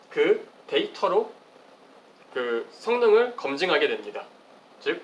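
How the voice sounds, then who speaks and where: A man with a slightly high voice delivers a formal academic presentation over clearly audible background noise. His pitch moves up and down in a lively way as he explains his research step by step. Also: low-quality recording. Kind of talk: prepared research presentation with slides.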